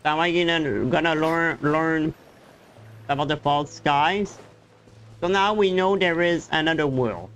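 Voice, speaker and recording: A man's voice: a little high, unhurried, fairly bright tone, slight background noise, low-quality recording. A man chatting casually as a game streamer, hesitant and rambling, often repeating words. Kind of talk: casual live-stream commentary and chat.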